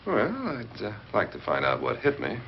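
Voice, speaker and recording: An actor playing an easygoing, skeptical pilot in a 1950s horror film, talking in a casual, hesitant way. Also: male voice, lowish voice, huge pitch swings, normal speed. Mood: casual, evasive. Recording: muffled vintage film soundtrack, faint noise.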